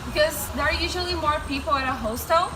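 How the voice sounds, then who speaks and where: High-voiced woman in echoey room. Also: fast. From Brazil. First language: Portuguese. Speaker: interviewer, English-teaching vlogger. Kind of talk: casual chat. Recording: echoey room with clear background noise.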